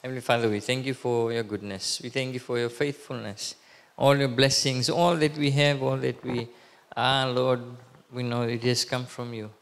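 A man's voice: mid-range voice, level tone, normal speed. Man praying in a reverberant church. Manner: hesitant, many fillers and restarts. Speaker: church service leader. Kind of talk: leading prayer and church announcements. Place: church hall, speaking at a pulpit. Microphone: handheld microphone. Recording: long hall reverb.

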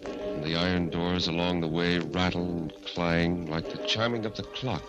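A man's voice: sits low, steady tone, moderate pace, highs cut off. A man narrating and announcing a 1940s radio show in a formal, ominous, scripted style. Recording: 1940s radio broadcast recording. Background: music.

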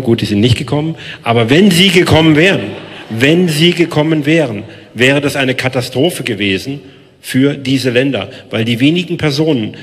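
A man on stage answering audience questions as a politician, speaking emphatically into a handheld mic and repeating phrases for stress. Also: mid-range voice. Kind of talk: public Q&A answer. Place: large hall, on stage. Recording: echoey hall.

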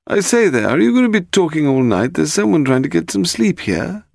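A fussy, nervous gentleman, pitched far up into a high, plaintive register. He protests in prim, wounded tones, with lively swings of pitch. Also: a little fast, vocal fry, no room sound, studio quality, exaggerated voice. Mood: indignant. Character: fussy, nervous, bald upper-class Englishman, indignant and wounded.

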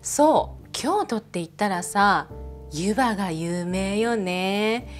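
A woman's voice: medium pitch, expressive, slow, soft background music. A woman playing a chatty snack-bar hostess, gushing and talking in a feminine way to an unseen customer. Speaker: bar hostess in a role-play. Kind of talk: one-sided role-play with an unseen customer.

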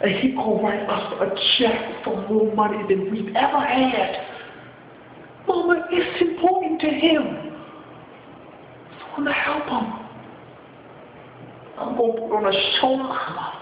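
A man's voice: very high-pitched, measured pace, long pauses. Man acting desperate, bitter son, echoing stage monologue. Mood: pleading, desperate. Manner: impassioned, declamatory, swinging from shouts to hushed. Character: bitter, desperate grown son pleading with his mother. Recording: echoey hall, muffled, faint noise.

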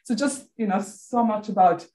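A middle-aged woman from Croatia with a Croatian accent, giving earnest, measured closing remarks in a university lecture room, recorded by a distant camera. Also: medium pitch, dark tone. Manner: earnest, measured, some hesitations. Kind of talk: formal closing address. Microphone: far camera, standing at lectern.

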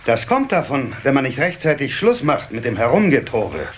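A man's voice: mid-range voice, normal speed, close to an everyday voice. An actor playing a caring, fretful water-sprite father in a children's audio play. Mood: fatherly concern. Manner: fatherly, animated. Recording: slightly noisy old cassette.

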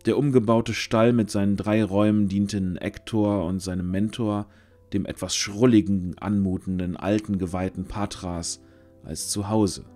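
A man narrating a scripted fantasy audio drama, using dramatic pauses. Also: fairly low pitch, fairly even intonation, dark tone. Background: music.

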